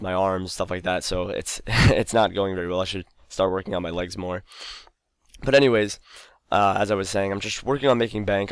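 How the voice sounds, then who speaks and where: A young man talks loosely over his own gameplay, drifting between thoughts with plenty of fillers and false starts. His voice sits very low with a gravelly, fried edge, tired and wearing thin. The sound is dry and close, with no room around it. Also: no echo. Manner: rambling, loose, lots of fillers and restarts. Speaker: gaming channel host. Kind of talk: casual gameplay commentary.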